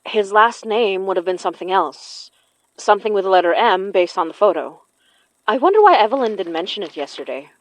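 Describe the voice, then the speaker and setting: A woman's voice: medium pitch, expressive, fairly quick, no room sound, lightly acted, rough recording. A woman acting in an audio horror drama as an earnest, knowing spirit medium who blames herself. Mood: puzzled, intent. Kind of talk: audio fiction podcast acting.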